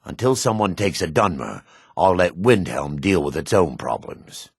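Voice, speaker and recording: An actor playing a jaded, sardonic male dark elf in a fantasy video game, with a husky voice. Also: low-pitched, big pitch swings, moderate pace, dry recording, clean studio sound, exaggerated voice. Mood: bitter, sardonic. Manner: sardonic, disdainful. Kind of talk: video game character voice acting.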